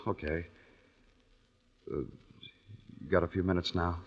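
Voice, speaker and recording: An actor playing a kindly young deputy sheriff in an old radio drama, earnest, hesitant and reassuring. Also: male voice, sits low, fairly even intonation, slow pace. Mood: gentle, earnest. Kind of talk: 1940s-50s radio drama acting. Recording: dull old radio broadcast recording.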